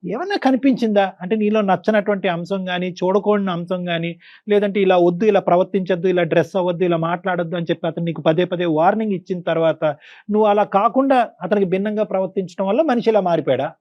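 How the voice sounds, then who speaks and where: A man's voice: high-pitched, quick pace, dry recording, muffled recording. A middle-aged man hosting a counselling talk, asking probing questions in a paternal way and rephrasing points. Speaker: talk show host counsellor.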